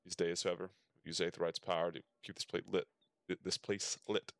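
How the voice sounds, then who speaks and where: Male game streamer, low voice, dry sound, casual reactions between read-out dialogue. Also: flat delivery, fairly quick, vocal fry, a bit bright, no echo.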